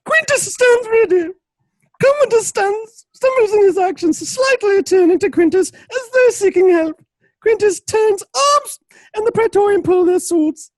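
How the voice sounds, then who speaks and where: A woman's voice: extremely high pitch, expressive, unhurried, no echo, poor audio. A woman narrating a radio drama from a script in a dramatic style.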